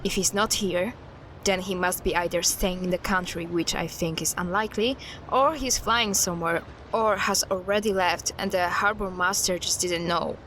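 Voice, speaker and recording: An actress playing a level-headed, decisive investigator in an audio drama, with a practical, deliberate manner. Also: female voice, lowish voice, steady tone, a bit bright, a little hiss or hum, natural voice. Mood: decisive, businesslike.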